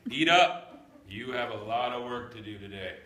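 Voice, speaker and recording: A man's voice: medium pitch, lively intonation, moderate pace, fairly bright tone, natural voice. A man acting a folksy, teasing old country grandpa with dry delivery in a staged script reading. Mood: wry, unruffled. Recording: echoey room recording.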